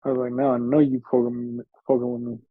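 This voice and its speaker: A man's voice: medium pitch, monotone, quick pace, no room sound, narrow, tinny audio. A man co-hosting a sports podcast, chatting about soccer in an informal, slangy way. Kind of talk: casual soccer chat.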